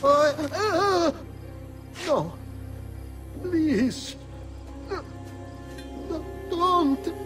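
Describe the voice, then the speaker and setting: A man's voice: extremely high pitch, expressive, drawn-out words, pauses often, music in the background, full character voice. An actor playing a craven, defensive nobleman who whines under threat in a video game drama. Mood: terrified pleading.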